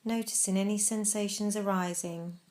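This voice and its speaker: Female meditation narrator, mid-range pitch, instructional and measured, leaving deliberate space between phrases. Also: steady tone, a little mellow. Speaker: meditation guide.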